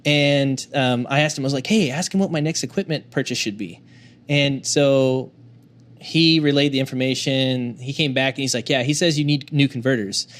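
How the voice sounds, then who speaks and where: A man's voice: mid-range voice, normal speed, fairly bright tone. A young man talking casually as a podcast guest, rambling through anecdotes with many fillers. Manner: rambling, anecdotal, lots of likes and ums. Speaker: recording engineer guest.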